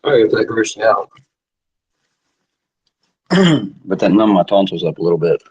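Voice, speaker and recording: A middle-aged man with a sore throat chatting casually to viewers, clearing his throat often and swearing offhand. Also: lowish voice, expressive, moderate pace, a little mellow. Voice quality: husky. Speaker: cannabis vlogger. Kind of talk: casual rambling chat to viewers.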